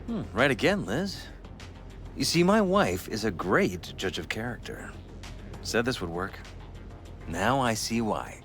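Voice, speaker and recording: A man playing a courteous, persuasive middle-aged politician in a video game, speaking in a formal, measured way. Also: lowish voice, big pitch swings, fairly bright tone, faint music behind. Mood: pleasant, flattering. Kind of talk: cinematic video game acting.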